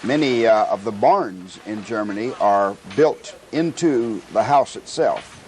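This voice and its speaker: An older man with an American accent, giving a halting, reflective, conversational voice-over for a family travel video. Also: fairly low pitch, expressive, darkish tone.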